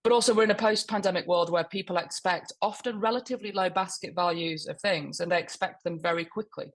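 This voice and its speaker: A woman with a croaky voice from a cold, speaking fluently on a webinar panel about her public affairs work. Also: fairly low pitch, fast. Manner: fluent, corporate explaining. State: hoarse with a cold.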